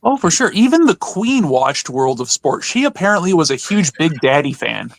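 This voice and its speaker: A man's voice: a little high, big pitch swings, normal speed, gravelly, no echo, studio quality. A man in a casual, chatty podcast conversation, telling anecdotes as a co-host. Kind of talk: casual chat about wrestling.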